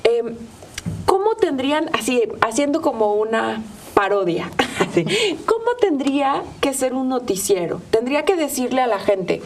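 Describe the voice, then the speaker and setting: A woman's voice: on the high side, sing-song, moderate pace. A woman with a Mexican accent hosting a conversational interview, thinking aloud with hesitant repetitions. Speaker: host. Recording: slightly distant microphone, faint noise.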